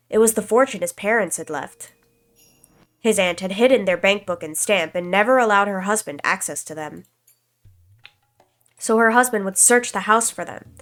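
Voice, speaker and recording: Stuffy-nosed woman calmly reading a visual novel. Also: medium pitch. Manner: calm, even-paced narration with casual asides. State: stuffy nose, slight cough. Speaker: streamer.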